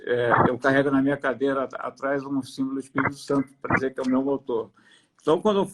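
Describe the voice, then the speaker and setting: A man with a Brazilian Portuguese accent giving a faith testimony on a live stream, hesitant with many restarts, then emphatic. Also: on the high side. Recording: noisy live-stream audio.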